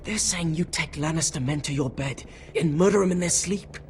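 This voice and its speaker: A man's voice: a little high, moderate pace, darkish tone, a little hiss or hum, lightly acted. A man voicing an urgent loyal servant. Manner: urgent, deferential. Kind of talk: video game voice acting. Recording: game audio with music underneath.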